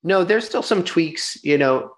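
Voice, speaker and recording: A man's voice: high voice, expressive, unhurried. A young adult man, an actor, chatting casually in an interview, laughing easily and restarting his sentences. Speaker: actor and filmmaker guest.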